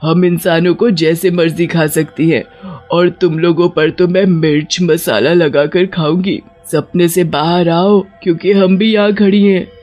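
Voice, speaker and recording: Man voicing a high-pitched gloating cartoon witch. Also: steady tone, a little slow, a little mellow, soft background music. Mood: threatening. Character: gloating, hungry witch, female, hunting humans. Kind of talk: cartoon horror story dialogue.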